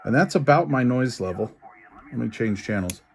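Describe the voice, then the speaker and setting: A man casually talking through a hands-on repair demonstration, informal and folksy, thinking aloud in a halting way. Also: mid-range voice, animated. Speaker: radio repair technician and video host. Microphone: handheld camera while working.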